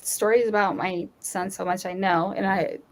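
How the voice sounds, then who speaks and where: A woman with a Utah accent, touched by North Dakota, talks casually on a livestream. She tells personal stories in an easygoing way at a middling pitch for a woman. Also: steady tone, moderate pace, a little hiss or hum. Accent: Utah with some North Dakota. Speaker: livestream co-host. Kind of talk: casual live chat.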